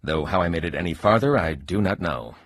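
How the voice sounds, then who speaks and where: A husky earnest superhero for a video game, pompous and stilted, pitch swinging all over. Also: male voice, animated, brisk, no echo, clean studio sound. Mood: puzzled. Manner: pompous, stilted, solemnly dramatic heroic delivery. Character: earnest, eccentric male laser-eyed superhero, formal and oddly literal. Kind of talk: video game character voice acting.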